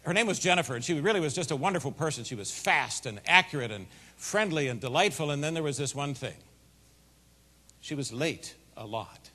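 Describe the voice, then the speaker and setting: A middle-aged man giving a keynote speech, telling a folksy, animated story in a big voice. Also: on the high side, fairly quick. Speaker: keynote speaker. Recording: long hall reverb.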